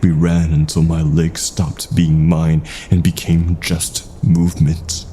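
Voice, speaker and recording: Man, very low voice, dark tone, slow suspenseful horror narration, faint background noise. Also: monotone. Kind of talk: dramatic scripted horror narration.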